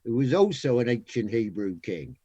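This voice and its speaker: Guy doing a jokey lisping pub bloke, radio comedy, lively pitch, dry muffled recording. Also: moderate pace, husky, dry recording. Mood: dry, challenging. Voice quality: lisp. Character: lisping male pub regular called Wolfie, jokey know-it-all.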